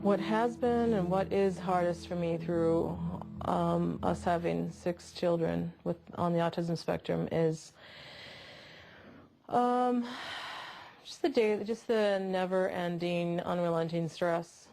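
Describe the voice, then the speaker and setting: A woman speaks as a mother in a documentary interview, slow and halting. Her voice is on the low side and creaky, with music behind her.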